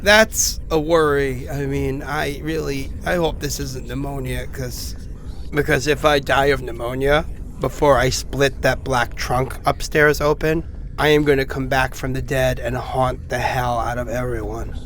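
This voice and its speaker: A man's voice: mid-range voice, little pitch movement, measured pace, fairly bright tone, slight background noise. A man playing a sick, stranded man who rambles anxiously and wryly through recorded messages in a horror audio drama. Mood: worried, wry. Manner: rambling, conversational, hesitant, wry. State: sick with a cough.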